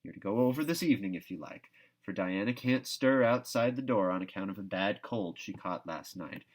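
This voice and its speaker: Man as a brisk, plain-spoken guardian woman. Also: lowish voice, lively intonation. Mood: matter-of-fact. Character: brisk, scolding but fond older woman guardian Marilla. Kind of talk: children's novel read aloud.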